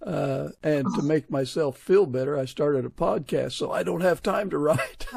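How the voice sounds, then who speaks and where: A man's voice: on the high side, slow, creaky, slightly rough, no room sound. A man hosting a podcast, chatting casually with a guest in a conversational interviewing style. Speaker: podcast host.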